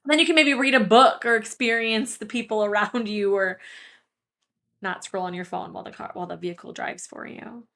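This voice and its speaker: A woman with a medium-pitched voice co-hosts a podcast. She speaks casually and reflectively, with a thoughtful, encouraging manner that leans on questions to draw the other person out. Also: fairly quick, creaky, fairly bright tone. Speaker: podcast co-host, therapist.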